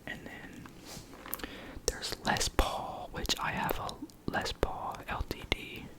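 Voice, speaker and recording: A person whispering an informal ASMR guitar lesson, hesitating and repeating key words. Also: slow pace. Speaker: guitar explainer.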